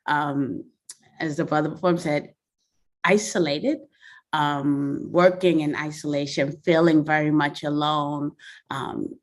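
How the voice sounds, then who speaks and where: A low-pitched woman speaks slowly and thoughtfully, filling her pauses with soft ums. She sounds like an executive director reflecting on her experience to a group. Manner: measured, reflective, many ums. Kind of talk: group reflection on a video call.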